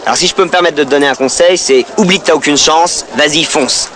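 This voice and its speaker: An actor playing a chatty, blunt, hapless holidaymaker in a comedy film, speaking colloquially. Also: male voice, on the high side, quick pace, a bit bright, a little hiss or hum, close to an everyday voice. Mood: blunt advising. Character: hapless chatty male holiday skier giving advice.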